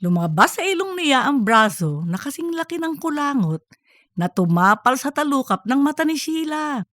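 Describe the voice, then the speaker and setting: A woman's voice: sits high, big pitch swings, moderate pace, fairly bright tone, no room sound, studio quality. A woman reading scripted narration for a comedic audiobook.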